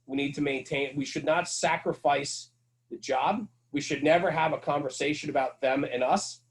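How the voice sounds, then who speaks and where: A man's voice: fairly high pitch, normal speed. A middle-aged man speaking as a podcast guest, earnest and emphatic, repeating his key points as he talks casually about his work. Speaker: guest, firefighter and fire-service radio host.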